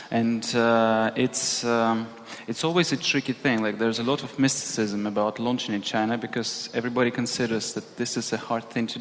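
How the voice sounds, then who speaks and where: A man moderating a conference panel with brisk, short prompts. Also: medium pitch. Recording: hall reverb with faint room noise.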